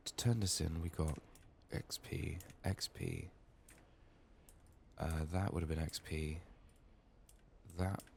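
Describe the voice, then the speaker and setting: Man, extremely low pitch, gravelly fry, soft-spoken ASMR gaming commentary, low-key, strained sick voice. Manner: low-key, murmured thinking aloud. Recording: faint background noise, clicking.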